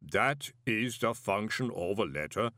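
A man voicing a huge male clay golem who speaks slowly in a booming, unshakably calm way. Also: no room sound, studio quality, exaggerated voice. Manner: slow, booming, infuriatingly calm.

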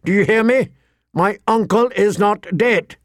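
A man's voice: high-pitched, measured pace, no room sound, studio quality, pitched above the performer's own voice. A man doing a defiant, raised-voice young lord. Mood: angry. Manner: loud, defiant. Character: proud, hot-tempered young lord.

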